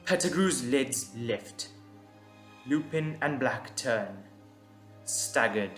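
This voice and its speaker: A man's voice: medium pitch, expressive, takes it slowly. A man narrating a scripted audio drama in a storytelling style. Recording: roomy echo, background music.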